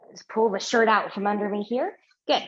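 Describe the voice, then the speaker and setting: A middle-aged woman leading a yin yoga class, giving slow, gentle cues and affirmations while lying on a mat in a small home studio. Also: medium pitch, dull audio. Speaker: yoga teacher. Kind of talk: guided yoga class instruction.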